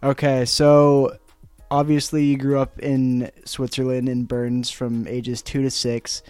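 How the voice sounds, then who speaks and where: A young man hosting a student podcast, asking relaxed, friendly interview questions. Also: medium pitch, takes it slowly, clear, resonant tone, dry recording, faint music behind. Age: young adult. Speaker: podcast host. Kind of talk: casual interview.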